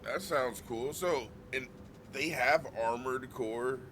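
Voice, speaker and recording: A man in his thirties, a streamer chatting casually, joking and swearing through lively banter. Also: mid-range voice, takes it slowly, noisy background. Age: 30s. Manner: joking, profane, animated banter. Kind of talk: casual chat.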